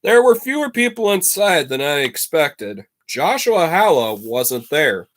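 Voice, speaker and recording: A man narrates a storybook like a teacher reading to a class. His delivery is expressive and dramatic, leaning deliberately on key words. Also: fairly high pitch. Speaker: teacher reading a book aloud to students.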